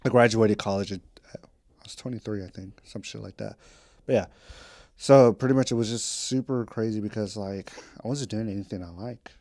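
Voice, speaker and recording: Dude in his 20s rambling about life, low mellow voice, dry close sound. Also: moderate pace, dry recording. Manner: rambling, candid, drifts between topics; casual slang and swearing. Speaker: vlogger. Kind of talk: casual catch-up podcast talk. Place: home.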